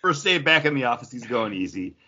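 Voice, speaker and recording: A man's voice: medium pitch, very animated, a little fast, pushed and bright, slightly rough. A man chatting casually as a podcast co-host, reviewing craft beer. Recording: dull lo-fi audio.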